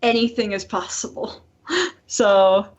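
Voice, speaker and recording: Female podcast co-host, mid-pitched, chatty and informal, free with swearing, on slightly lo-fi sound. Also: fairly even intonation, slow. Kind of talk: casual podcast chat.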